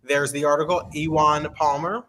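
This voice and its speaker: A man's voice: on the high side, fairly even intonation, normal speed, bright, forceful tone, slight background noise, rough recording. A man hosting a casual political podcast, opening with rambling, teasing banter. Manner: joking, rambling intros with teasing. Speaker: podcast host.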